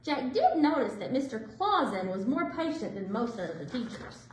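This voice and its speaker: A woman with a high voice narrates a picture book for children. Her delivery swings up and down expressively, with deliberate phrasing. The room adds a clear echo. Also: very animated, a little hiss or hum. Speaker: librarian reading stories aloud for children. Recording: roomy indoor echo.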